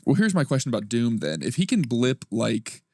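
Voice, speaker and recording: A man's voice: medium pitch, huge pitch swings, brisk, a little mellow, no room sound, studio quality. Curious young man in his twenties. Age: 20s. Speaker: podcast co-host. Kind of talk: casual chat.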